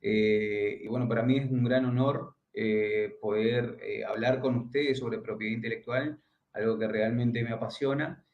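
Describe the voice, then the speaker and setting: A man from Argentina with a northeastern Argentine accent, giving a lecture by video call as a lawyer, pausing often with fillers and restarting sentences. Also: medium pitch, steady tone. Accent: northeastern Argentine Spanish, from the Paraguay border. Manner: hesitant, many "eh" fillers and restarts. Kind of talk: virtual conference talk. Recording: echoey room, dull lo-fi sound.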